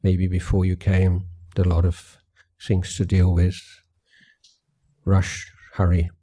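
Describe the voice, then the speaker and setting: Male meditation guide. Low, even pitch, measured and gentle retreat talk, unhurried phrases with long pauses. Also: breathy edge. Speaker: Buddhist meditation teacher.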